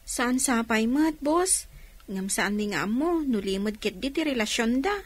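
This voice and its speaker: A woman's voice: fairly high pitch, lively intonation, measured pace, husky. A woman playing a young, deferential employee talking with her boss in an Ilocano radio drama.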